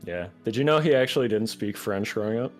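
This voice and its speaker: A man chatting as a podcast guest, animated and profane, breaking into loud laughs, recorded on a home microphone. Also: on the low side, quick pace, faint music behind. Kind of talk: casual podcast chat.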